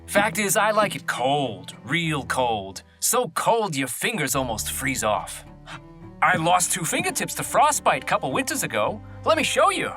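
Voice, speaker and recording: A woman's voice: low voice, wildly swooping pitch, measured pace, sharp tone, faint music behind, exaggerated voice. A woman playing a wary, hard-working small-town butcher in a comic audio play, folksy, hesitant and politely plain-spoken. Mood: uneasy, evasive.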